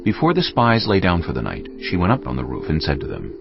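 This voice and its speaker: A man narrating scripture from a script in a measured, dramatic storytelling manner. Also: low voice, huge pitch swings, creaky. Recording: slightly dull audio over music. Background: music.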